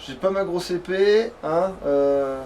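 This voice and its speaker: A man's voice: high-pitched, slow, darkish tone, far from the mic, slight background noise. A man chatting casually as he plays a video game, swearing and joking. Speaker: let's player. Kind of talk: casual gaming chat.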